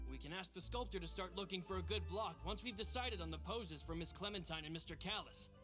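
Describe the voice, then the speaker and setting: Courteous young right-hand man for a video game, male actor, slightly high, earnest, over clear background music. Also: close to an everyday voice. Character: polite, loyal young male aide to his boss. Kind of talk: scripted video game acting.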